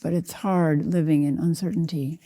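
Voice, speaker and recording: An older woman guides a meditation in a low-pitched voice with a very soft, dark tone. She speaks deliberately, in short phrases, and leaves room between them as a teacher would. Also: fairly even intonation. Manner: measured, deliberate, spoken in short phrases with pauses. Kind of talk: slow guided meditation narration.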